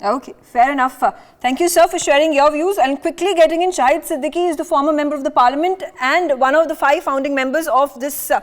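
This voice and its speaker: A woman's voice: high voice, fast, hardly any pauses. A female news anchor, moderating a live panel and asking formal, long-winded questions.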